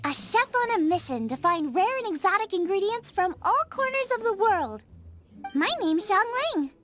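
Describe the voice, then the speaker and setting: A bubbly young chef in a video game, high-voiced and eager. Her voice swings up and down as she gets carried away by food, and soft game music runs underneath. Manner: eager and food-obsessed, quick to get excited.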